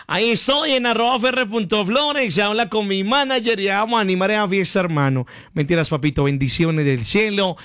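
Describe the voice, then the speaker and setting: Man, Colombian Paisa accent, radio host, high voice, smooth and dry, upbeat. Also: normal speed, fairly bright tone, no room sound. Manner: upbeat, playful, blessing callers. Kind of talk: lively call-in radio chat.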